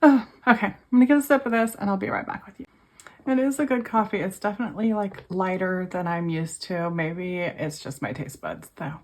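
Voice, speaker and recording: Woman with a cold, hoarse and tired. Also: medium pitch, fairly quick, a little mellow. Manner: tired, chatty, addresses viewers as you guys. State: losing her voice from a cold. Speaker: budgeting vlogger. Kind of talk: casual chat to camera.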